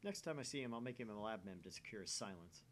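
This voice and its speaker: A man's voice: fairly low pitch, lively intonation, fast, a little hiss or hum. A man reading a visual novel aloud as a gaming channel host.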